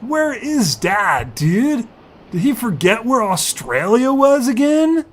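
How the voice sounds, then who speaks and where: An excitable young surfer dude from space in an audio fiction story. The voice sits high and swings widely, with drawn-out emphasis and exclamatory slang. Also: male voice, full character voice. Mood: impatient. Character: excitable young alien surfer dude, slug in human guise. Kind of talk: audio fiction character acting.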